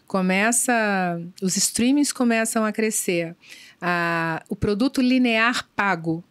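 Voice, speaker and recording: A middle-aged woman executive answering interview questions in a measured, thoughtful way, pausing often with fillers. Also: mid-range voice, clean tone. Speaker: business executive guest. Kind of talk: conversational podcast interview.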